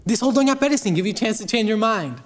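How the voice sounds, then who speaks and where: A frustrated young son with a very high voice, acted by a young man. He reproaches his father in the manner of a stage drama. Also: lively intonation, very fast. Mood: reproachful. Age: teens to young adult.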